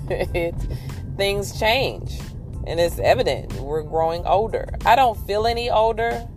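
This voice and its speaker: A woman in her forties with a somewhat deep voice, chatting candidly and upbeat while she drives on her commute. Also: lively intonation, unhurried, over music. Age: 40s. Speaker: podcast host. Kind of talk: casual chat while driving.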